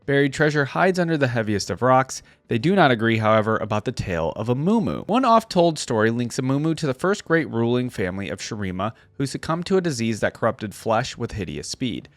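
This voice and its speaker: A man's voice: medium pitch, very animated, a little fast, hardly any pauses, no room sound. A man speaking as a reaction video host in an informal, chatty way, switching between casual commentary and reading aloud. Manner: informal, chatty, addresses viewers directly.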